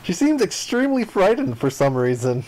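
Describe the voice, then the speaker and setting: A man's voice: high-pitched, sing-song, normal speed, darkish tone, slight background noise, low-quality recording. A man reading visual novel narration and dialogue aloud, acting out the lines as he goes. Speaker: gaming video player reading aloud.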